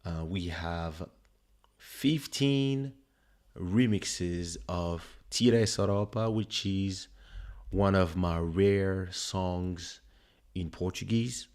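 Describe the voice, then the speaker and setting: A man with a French accent, a native French speaker, hosting a livestream listening party in relaxed, rambling casual chat with many fillers. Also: low-pitched, very slow. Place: home streaming setup. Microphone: studio desk microphone.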